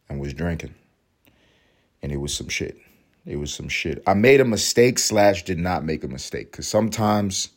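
A man in his thirties from New York City, hoarse and hungover, hosting a casual solo podcast in a rambling, profane way with emphatic repeats. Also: deep voice, a little mellow. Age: 30s. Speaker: podcast host.

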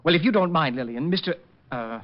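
A man's voice: a little high, lively intonation, fairly quick, close to an everyday voice. A man playing a catty, fussy hat designer. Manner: theatrical, snippy. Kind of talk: 1950s radio acting. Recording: dull lo-fi old radio broadcast.

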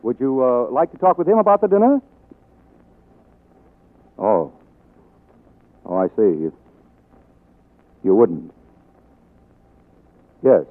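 An American actor playing a charming, faltering film star husband in a 1940s radio drama, fond but wounded, with hesitant, halting speech. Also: male voice, medium pitch, huge pitch swings. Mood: polite, deflated. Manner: hesitant, halting, stammered repeats. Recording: muffled 1940s radio broadcast recording.

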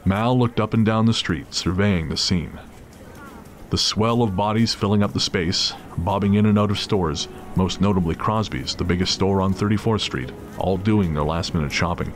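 Very deep-voiced male narrator. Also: normal speed, slight background noise. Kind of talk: scripted dramatic narration.

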